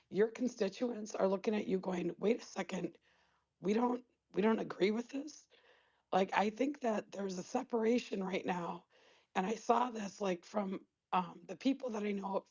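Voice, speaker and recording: Hoarse woman caller, blunt. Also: medium pitch, mellow, highs cut off. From Wisconsin. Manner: blunt, casual, rambling. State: losing her voice.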